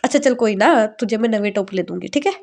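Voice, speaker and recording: A woman voicing an affectionate middle-aged mother who speaks in a gentle, coaxing way. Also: mid-range voice, animated, brisk, creaky.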